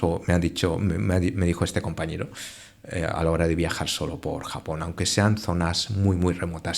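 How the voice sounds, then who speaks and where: Man, very low voice, native Japanese speaker, podcast guest, hesitant restarts. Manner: thoughtful, many hesitations and restarts. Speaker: translator and Japan expert guest. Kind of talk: podcast interview answers.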